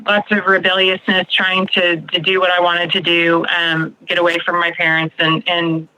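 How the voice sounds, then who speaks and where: A woman's voice: lowish voice, level tone, moderate pace, sharp tone, low-quality recording. A woman in her forties from Texas with an American accent, telling her personal story conversationally as a podcast guest. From Texas, United States. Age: 40s.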